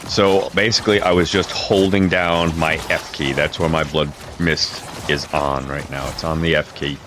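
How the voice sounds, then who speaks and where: A man with a low-pitched voice giving casual, rambling gameplay commentary, often restarting and correcting himself. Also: fairly even intonation, moderate pace, noisy background. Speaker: gaming video creator. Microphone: home streaming mic with crackle.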